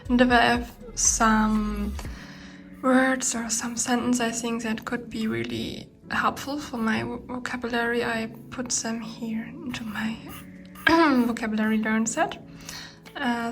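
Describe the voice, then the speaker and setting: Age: adult. Sex: woman. Native: German. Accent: German. Pitch: slightly high and flat. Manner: hesitant. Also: measured pace, soft background music. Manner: hesitant, many fillers and restarts. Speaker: language learner vlogger. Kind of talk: casual tutorial explanation.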